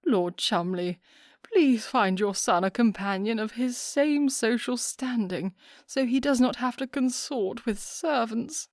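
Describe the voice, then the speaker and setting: A woman voicing a fussy, gushing older society hostess who talks in a simpering, excitable way. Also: a little slow, no room sound, studio quality, natural voice. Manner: simpering, excitable, high-pitched.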